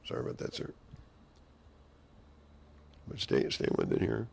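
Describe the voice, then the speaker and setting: A hoarse man in a rambling monologue, drifting between half-finished sentences and mock-courtroom phrasing. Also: unhurried, darkish tone, slight background noise.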